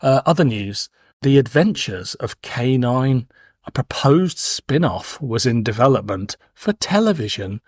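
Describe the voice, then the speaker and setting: A man's voice: mid-range voice, lively intonation, unhurried, a little mellow, breathy edge, dry recording, clean studio sound. A man in his forties hosting a podcast in casual banter, with a wry, playful, teasing manner. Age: 40s. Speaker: podcast host.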